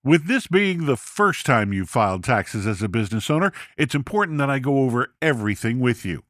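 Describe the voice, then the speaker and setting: A calm male accountant, played by a narrating man, speaks in a blunt, measured way. He is matter-of-fact with his client in a podcast story, with a middle-pitched voice in a dry recording. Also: dry recording.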